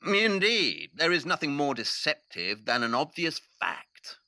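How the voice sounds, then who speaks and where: A man's voice: a little high, sing-song, unhurried, pushed and bright, dry recording, studio quality, heavily acted. A man acting as an arrogant, impatient Victorian detective in an audio drama, brusque and condescending. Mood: smug, analytical.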